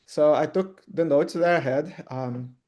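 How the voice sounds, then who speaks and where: A middle-aged man whose native language is Portuguese, giving an informal personal testimony in a halting, conversational way, rephrasing in short bursts. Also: on the high side, a little mellow, muffled recording.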